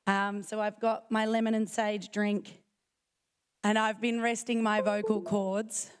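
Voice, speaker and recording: A woman with a croaky, failing voice giving a chatty, self-joking conference talk. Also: mid-range voice, little pitch movement, a little slow, a little hiss or hum. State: croaky, losing her voice. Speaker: conference speaker. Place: conference hall.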